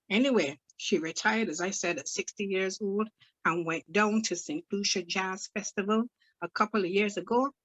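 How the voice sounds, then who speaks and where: A woman's voice: mid-range voice, fairly bright tone, no room sound, muffled recording, lightly acted. Casting: hot-tempered older Barbadian mother, put on by a woman reading aloud, Barbadian accent, scolding, dry close sound. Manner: rapid, exasperated questioning, old-fashioned sayings.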